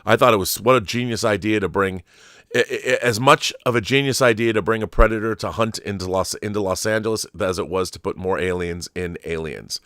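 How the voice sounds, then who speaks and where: A man's voice: lowish voice, brisk, fairly bright tone, dry recording, studio quality. A middle-aged man hosting an unscripted film commentary show, talking in an emphatic, digressive, opinionated fan style.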